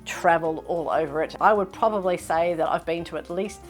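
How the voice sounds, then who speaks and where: A woman's voice: fairly low pitch, a little fast, faint music behind. A woman in her thirties with a mainly Australian accent touched by British and American sounds, chatting upbeat in a sewing Q&A vlog. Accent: Australian with British and American traces. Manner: chatty, upbeat, self-reflective. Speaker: sewing vlogger. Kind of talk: casual Q&A vlog.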